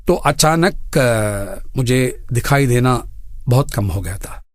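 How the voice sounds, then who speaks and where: A man in his fifties from India tells his own story as a studio interview guest, earnestly and in deliberate phrases with English words mixed in. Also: mid-range voice, lively intonation, no room sound. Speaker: interview guest, bank general manager. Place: radio studio.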